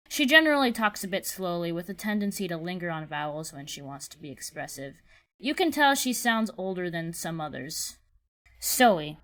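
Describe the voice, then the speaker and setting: A woman's voice: mid-range voice, fairly bright tone. A woman narrating scripted audition instructions and character descriptions, with precise, emphatic enunciation. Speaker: creator of an animated series giving a casting call.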